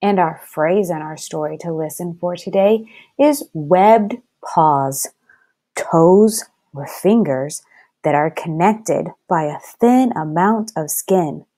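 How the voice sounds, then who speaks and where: A woman teacher reading a lesson aloud to young children in a deliberate, instructive way, enunciating each word. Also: on the low side, dark tone. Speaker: first-grade teacher.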